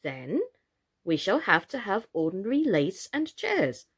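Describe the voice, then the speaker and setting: A woman's voice: medium pitch, big pitch swings, unhurried, husky, heavily acted. A woman reading a storybook, voicing a timid, dreamy young girl in a hesitant, questioning way. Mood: unsure.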